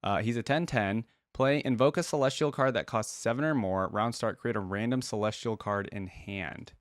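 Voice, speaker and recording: Low-voiced man giving casual reaction commentary. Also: normal speed, dry recording, studio quality. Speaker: reaction video host. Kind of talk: casual reaction commentary, reading game text aloud.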